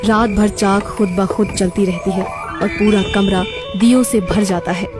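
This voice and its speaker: A woman's voice: mid-range voice, steady tone, measured pace. A woman telling a scripted cartoon story as its narrator. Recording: background music throughout.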